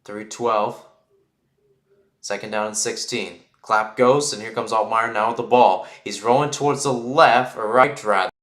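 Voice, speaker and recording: A man's voice: fairly low pitch, fairly even intonation, measured pace, fairly bright tone, reverberant. A man giving live play-by-play football commentary in an informal way, thinking aloud and correcting himself as he goes.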